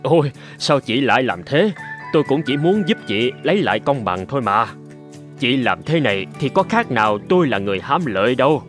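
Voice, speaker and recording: A man's voice: medium pitch, big pitch swings, fairly quick, a bit bright, soft background music. A man playing a humble, courteous wise man in a cartoon folk tale. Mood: modest.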